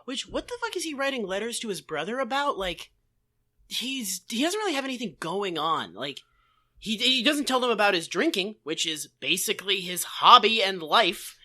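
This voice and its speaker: A man's voice: high voice, sing-song, moderate pace, sharp tone. A man co-hosting a podcast with casual comedic commentary, riffing sarcastically and swearing freely. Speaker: podcast co-host.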